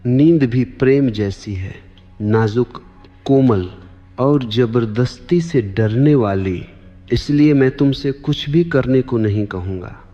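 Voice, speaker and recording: Guy doing a really slow sleep meditation talk, short repeated phrases, dull lo-fi sound with reverb. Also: medium pitch, dark tone. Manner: deliberate, short measured phrases with repetition. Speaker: spiritual teacher. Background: clear background music.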